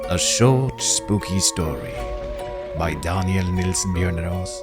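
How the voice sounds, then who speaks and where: A man narrating a spooky audiobook story in a measured, dramatic way. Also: sits low. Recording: narration over music bed. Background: music.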